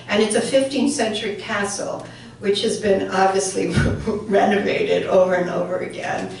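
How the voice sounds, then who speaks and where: Older woman poet, Welsh first language, halting chatty intros, heard from far away in a very echoey hall. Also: mid-range voice, slight background noise. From born in England, raised in central New York. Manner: chatty and hesitant between poems, slow and soft when reading poems. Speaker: poet reading at a society luncheon. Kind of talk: poetry reading with spoken introductions. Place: banquet hall.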